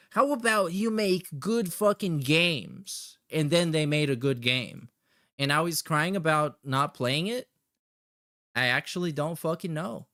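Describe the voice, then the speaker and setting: A man with a fairly high voice hosts a casual reaction show. He is sarcastic and mocking, and he swears freely as he comments. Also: a bit bright. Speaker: podcast host reacting to clips.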